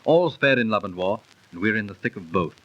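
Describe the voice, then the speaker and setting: A low-pitched, gallant young army captain played by a male actor, ardent and stubborn in a theatrical 1930s radio manner. The recording is old and muffled. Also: animated, brisk, natural voice. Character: dashing, devoted young British army captain, stubbornly scornful of superstition. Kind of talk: 1930s radio drama acting.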